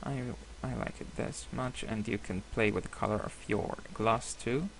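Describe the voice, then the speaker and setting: A man whose first language is Hebrew, giving a screen-recorded software tutorial with many hesitations and self-corrections. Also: on the low side, moderate pace, vocal fry, slight background noise, rough recording. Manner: apologetic, laughs at himself.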